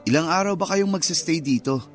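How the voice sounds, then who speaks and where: A man's voice: fairly high pitch, fairly quick, faint music behind, lightly acted. A man playing an easygoing nurse in conversational, amiable radio drama dialogue. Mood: relaxed, friendly. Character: easygoing male nurse meeting his old sweetheart.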